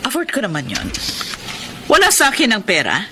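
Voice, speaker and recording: Stern meddling aunt, middle-aged, Filipino accent, dramatic swooping intonation, background noise, radio drama. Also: female voice, medium pitch, wildly swooping pitch, a little fast. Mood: stern, accusing. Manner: grave, insistent, dramatic. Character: Tita Lia, stern protective meddling aunt. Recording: noisy background, some music.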